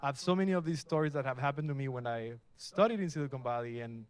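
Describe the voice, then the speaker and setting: A man's voice: mid-range voice, fast talker, smooth. A young adult man from Mexico gives a casual, upbeat talk at a live tech meetup, asking the audience questions as he goes. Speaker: meetup speaker. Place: event space with a live audience.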